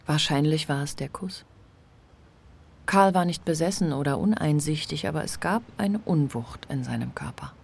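A woman's voice: deep voice, moderate pace, creaky, husky. A woman narrating a radio play in a literary, scripted style.

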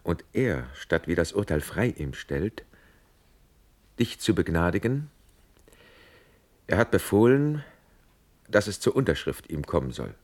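A loyal officer in a classical radio drama, anxious and doubting. His voice is very low and husky, with wide swings as he questions gravely and urgently. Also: big pitch swings, a little slow, long pauses, darkish tone, exaggerated voice. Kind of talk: classical verse radio play acting.

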